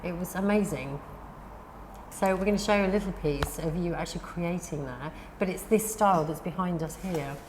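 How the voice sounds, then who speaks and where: A woman's voice: fairly low pitch, moderate pace. A woman hosting a gallery interview, encouraging, with frequent backchannel yeahs. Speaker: gallery host. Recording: long reverb, faint background noise.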